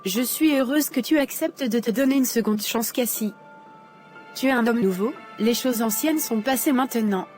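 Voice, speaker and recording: Une femme interprète une jeune fille de dessin animé, douce et fervente. Sa voix est plutôt aiguë. On entend une légère musique derrière elle. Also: female voice, a little high, moderate pace, soft background music, natural voice. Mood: gentle. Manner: soft, earnest, forgiving. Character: young woman, daughter freed from captivity, gentle and devout.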